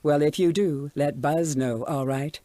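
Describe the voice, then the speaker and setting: An actress playing an eerily cheerful radio station announcer, reading ads and promos with smooth, assured salesmanship. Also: female voice, low voice, a little slow, warm tone, no room sound. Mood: eerily soothing.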